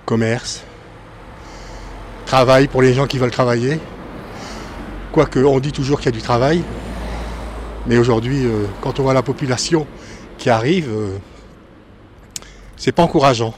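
A man from northern France, a former cook, giving frank opinions slowly with hesitations. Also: mid-range voice, a little hiss or hum. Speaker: interviewed resident, former cook. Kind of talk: street interview.

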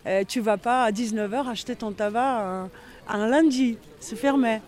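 A man's voice: very high voice, moderate pace, a little mellow. A man interviewed about village life, talking casually and hesitating with many fillers. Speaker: villager interviewed on local radio. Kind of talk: street interview, casual talk.

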